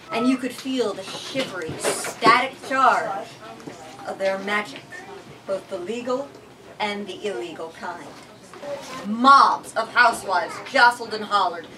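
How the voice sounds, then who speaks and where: A woman giving a theatrical, expressive reading aloud in a bookstore, adding her own commentary. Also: mid-range voice, distant mic, low-quality recording. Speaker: reader leading a bookstore literary talk.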